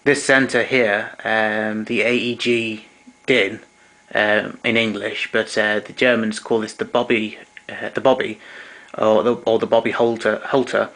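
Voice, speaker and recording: A man giving an informal technical demonstration in a hesitant, halting way, as a hobbyist showing equipment. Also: lowish voice, flat delivery, fairly bright tone, poor audio. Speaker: vintage tape recorder enthusiast demonstrating equipment. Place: indoors, small domestic room.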